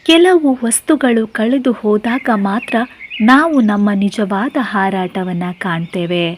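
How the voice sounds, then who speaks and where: A woman's voice: medium pitch, measured pace, a little hiss or hum. A woman narrating a scripted children's story as storyteller.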